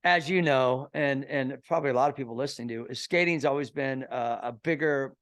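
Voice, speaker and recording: A middle-aged man from Kansas City hosting a podcast in a casual, excitable way, full of slang. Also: mid-range voice, brisk. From Kansas City, USA. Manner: excitable, slangy, says "bro" and "dude" a lot. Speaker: podcast host. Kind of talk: casual interview chat.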